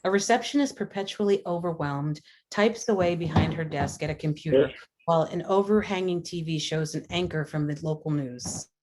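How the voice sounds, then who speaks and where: Low-pitched woman reading screenplay directions. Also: normal speed, darkish tone, slight background noise, rough recording. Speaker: reader of stage directions. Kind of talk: scripted screenplay narration.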